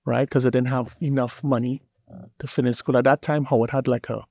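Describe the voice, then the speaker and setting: A man from Trinidad and Tobago with a Trinidadian accent, a guest telling his story in a relaxed interview and often repeating words as he searches for them. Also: medium pitch, brisk, gravelly, no room sound. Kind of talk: casual interview talk.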